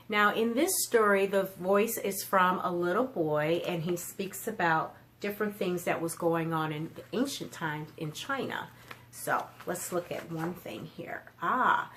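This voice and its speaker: A woman with a slightly low voice presents book reviews as a children's storytime host. She explains things simply and puts easy questions to her young listeners. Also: slight background noise.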